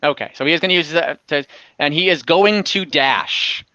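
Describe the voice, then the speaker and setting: A man's voice: a little high, moderate pace, sharp tone, dry recording, highs cut off. A man working as game master, narrating an online tabletop game casually and thinking aloud in a hesitant, improvised way. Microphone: online call microphone.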